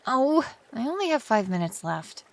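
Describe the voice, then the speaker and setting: A woman's voice: fairly high pitch, big pitch swings, normal speed, vocal fry. An actress playing an amazed, curious young woman visiting a sci-fi city in an audio drama. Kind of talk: full-cast audio drama acting.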